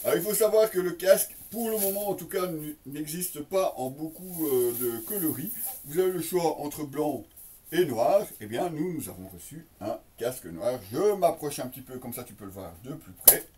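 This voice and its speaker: Distant, higher-pitched man presenting an unboxing. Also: animated, normal speed, dark tone. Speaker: product reviewer and presenter. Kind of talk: casual unboxing demonstration.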